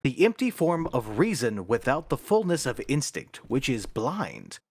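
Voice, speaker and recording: An actor playing a pompous, bossy and exasperated theater manager in a radio play, declaiming theatrically. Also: male voice, medium pitch, wildly swooping pitch, unhurried, husky, no room sound, studio quality, heavily acted. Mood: exasperated, lecturing.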